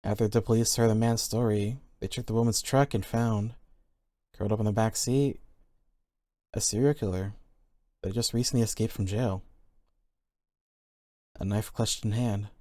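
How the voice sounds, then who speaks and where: A man's voice: lowish voice, fairly even intonation, normal speed, pauses often, mellow, no echo, clean studio sound. A man reading scripted horror stories as the narrator.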